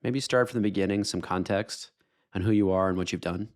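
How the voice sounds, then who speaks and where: A man hosts the show in a low, even voice. He reads his intro and sponsor spot in a polished way, then asks his guest questions. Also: fairly quick. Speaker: podcast host.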